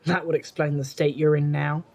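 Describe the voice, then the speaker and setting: Young male occultist, played by an actor: arrogant, sarcastic, fairly high voice, audio drama delivery. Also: little pitch movement, normal speed, a little mellow, lightly acted. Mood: smug, dismissive. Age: young adult. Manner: sarcastic, overconfident.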